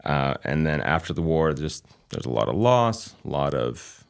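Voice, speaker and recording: A man in his thirties, a native Albanian speaker from Kosovo with a light New England accent, talking as a guest in a measured, reflective way with frequent pauses. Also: a bass voice, sing-song, gravelly, clear, resonant tone. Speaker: guest chiropractor. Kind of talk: casual interview chat.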